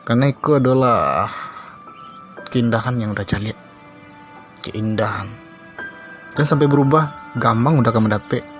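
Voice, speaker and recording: A man's voice: mid-range voice, moderate pace, pauses often, close to an everyday voice. Male, Minangkabau accent, acting doting cartoon husband, poetic sweet talk, music underneath. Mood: amazed, smitten. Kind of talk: comic cartoon dialogue.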